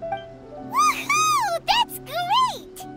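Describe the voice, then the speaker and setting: Squeaky little childlike fairy sidekick, super high, game style, loud music under it. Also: female voice, very animated, drawn-out words, exaggerated voice. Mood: excited. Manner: speaks of self in third person, exclamatory. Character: small excitable childlike female floating fairy companion. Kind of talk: video game character acting. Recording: music behind the voice.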